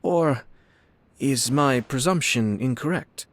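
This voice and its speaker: An actor playing a courtly young lord in a video game, composed and polite, with smooth, formal, elegant phrasing and a subtly playful touch. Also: male voice, medium pitch, slow pace, a little hiss or hum, lightly acted. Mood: polite, measured. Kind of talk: video game character acting.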